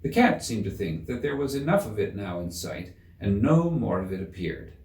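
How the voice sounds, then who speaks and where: A man narrating a children's story as an audiobook reader, in an expressive storytelling style. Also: lowish voice, normal speed. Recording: roomy echo, slightly distant mic.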